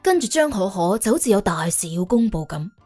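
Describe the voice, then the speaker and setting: A woman narrating an audiobook in colloquial Cantonese, telling the story in an expressive, wry way. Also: medium pitch, brisk, breathy edge. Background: faint background music.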